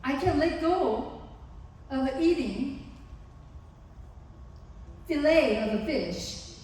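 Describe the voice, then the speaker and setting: A woman's voice: sits high, animated, drawn-out words, a little mellow. A woman with a Korean accent giving an earnest, explanatory seminar talk to parents as a psychologist, acting out examples as she goes. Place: large conference hall. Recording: distant, echoey hall.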